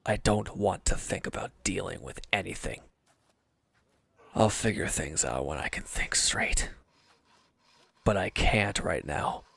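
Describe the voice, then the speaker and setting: A man playing a wounded, defeated villain's henchman in an intimate audio roleplay, bitter, defensive and despondent, halting and sometimes dropping to a near-whisper. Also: low-pitched, creaky, a bit bright, breathy edge, dry recording, heavily acted. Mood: defensive, irritable.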